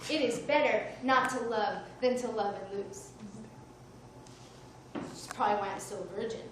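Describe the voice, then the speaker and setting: A woman from Mexico delivers a wry, self-mocking stage monologue in a fairly high voice. She is caught from far off by a room mic, with heavy echo and clear noise around her, like a live theater recording. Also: normal speed. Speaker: solo stage performer. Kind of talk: one-woman comedy show monologue.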